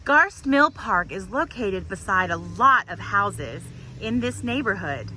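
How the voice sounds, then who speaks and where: A woman hosting a children's storytime outdoors, telling things in simple, deliberate phrases for small children. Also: mid-range voice, big pitch swings, bright, forceful tone. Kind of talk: storytelling and guided talk for young children. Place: outdoors in a public park. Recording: outdoor park with faint background noise.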